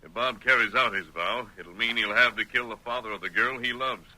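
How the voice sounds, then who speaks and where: A resolute masked cowboy hero in a vintage radio western. His low voice is very forceful and authoritative, with measured wording, on an old broadcast recording. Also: rough recording. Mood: calm, resolute. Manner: authoritative, measured, clearly worded.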